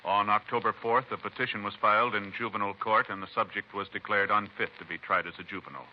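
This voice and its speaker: A formal 1950s radio announcer played by a man delivers official narration in a matter-of-fact manner. The voice is fairly low and moves quickly. Faint noise runs under the old recording. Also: steady tone, close to an everyday voice. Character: formal, impersonal radio announcer reporting a court outcome. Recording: dull, noisy vintage radio recording.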